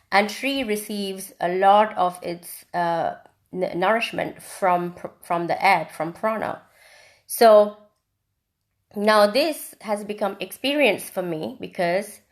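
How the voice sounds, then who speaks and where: A woman from India teaching on a live video stream in a reflective, casual way, with frequent ums and restarts. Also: fairly low pitch. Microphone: phone or webcam on a live video stream.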